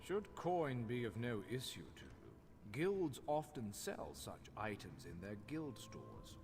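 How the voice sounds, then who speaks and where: Lively fantasy cooking mentor. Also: male voice, mid-range voice, takes it slowly, big-room sound, noisy background, exaggerated voice. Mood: instructive. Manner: instructive, matter-of-fact. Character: instructive male cook mentor, plain-spoken fantasy tradesman. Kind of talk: video game character acting.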